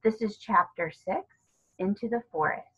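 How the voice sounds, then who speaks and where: A woman narrating a children's novel as a read-aloud, with a husky voice. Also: medium pitch, moderate pace, fairly bright tone, lo-fi sound. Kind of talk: read-aloud storybook narration with character dialogue.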